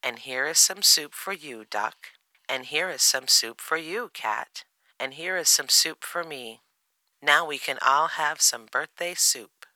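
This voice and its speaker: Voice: medium pitch, measured pace, bright, forceful tone, dry recording, rough recording. A narrator voicing a cheerful, eager little boy bear cub in a children's storybook. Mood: friendly, excited. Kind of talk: children's storybook character reading.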